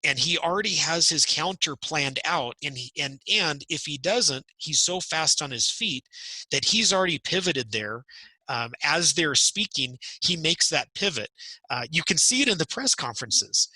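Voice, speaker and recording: A man's voice: fairly high pitch, animated, moderate pace, bright, forceful tone, breathy edge, no room sound, rough recording. A man speaking on a panel, enthusiastic and often stumbling over restarts. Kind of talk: casual discussion.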